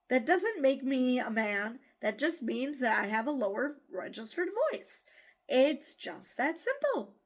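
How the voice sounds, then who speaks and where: A woman's voice: fairly high pitch, fairly bright tone. A woman hosting a casual news commentary show, blunt and exasperated, swearing freely and rambling through asides and restarts.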